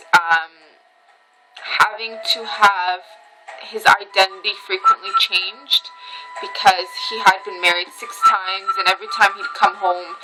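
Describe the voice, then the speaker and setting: A woman's voice: medium pitch, rough recording. A woman rambling casually to camera in a dim room at home, a bit tipsy from red wine and talking with loose, emotional wandering and many ums. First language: Armenian heritage speaker, reads Western Armenian. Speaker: vlogger.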